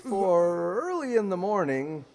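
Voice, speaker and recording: A man's voice: sits high, sing-song, slow, heavily acted. Man acting a swooping, emphatic 1940s radio announcer. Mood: lyrical. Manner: emphatic, salesmanlike. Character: polished, enthusiastic 1940s radio show announcer and pitchman. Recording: echoey hall stage recording.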